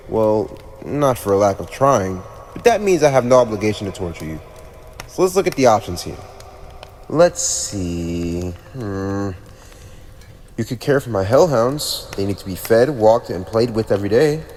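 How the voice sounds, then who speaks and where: A haughty young demon lord played by a man toys with the listener in a theatrical ASMR roleplay. The voice sits a little low and swings widely in pitch, smug and mockingly playful. It rings with long reverb over faint noise. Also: darkish tone. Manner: haughty, teasing, theatrical, with drawn-out pauses. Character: haughty teenage demon lord, playfully sadistic ruler in hell.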